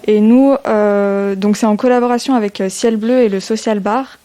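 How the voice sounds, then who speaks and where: Young female volunteer, early twenties, soft dark timbre, mid pitch, informal interview explanations. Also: steady tone, normal speed. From Bourg-en-Bresse, eastern France. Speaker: civic service volunteer.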